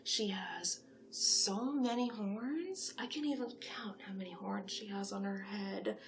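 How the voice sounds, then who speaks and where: Slow, soft-spoken ASMR woman, often whispering. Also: mid-range voice, slight background noise. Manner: gentle, encouraging, salesy, unhurried with frequent whispered phrases. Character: friendly, flattering female matchmaker for monsters. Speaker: ASMR roleplay performer playing a monster matchmaker.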